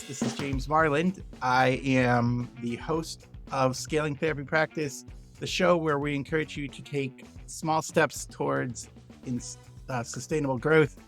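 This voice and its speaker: Creaky-voiced male podcast host. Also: mid-range voice, steady tone, measured pace, a bit bright, faint music behind. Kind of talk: conversational show hosting.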